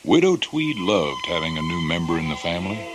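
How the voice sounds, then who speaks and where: Lively low-voiced storybook narrator, male. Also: fairly quick. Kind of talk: scripted children's storybook narration. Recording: loud music behind the voice.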